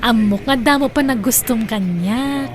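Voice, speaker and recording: A woman's voice: a little high, measured pace, crowd noise, natural voice. A woman playing a bold, lovesick young woman who talks in a forward, dramatic way in a comedy radio-style drama. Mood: lovesick, pleading.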